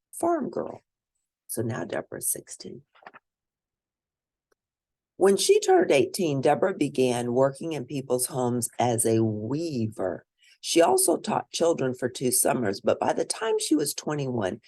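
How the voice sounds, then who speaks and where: A woman narrates a picture book for children in a deliberate, teacherly manner. Her intonation is very animated, rising and dipping widely. The sound is dry, with very little room around the voice. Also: rumbling low, dry recording. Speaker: children's read-aloud narrator and educator. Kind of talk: picture book read-aloud with asides to young listeners.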